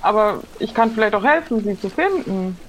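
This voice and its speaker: A woman's voice: mid-range voice, animated, moderate pace, slight background noise, lightly acted. A woman playing a lanky, eager young would-be pirate, earnest and plain-spoken in an improvised role-play. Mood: eager, unsure.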